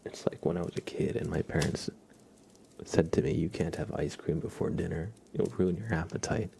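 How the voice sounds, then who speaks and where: A man hosting an ASMR livestream, chatting softly and gently and often slipping into whispers. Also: low voice, moderate pace, creaky, slight background noise. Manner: gentle, hushed, drifting into whispers. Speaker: livestream host.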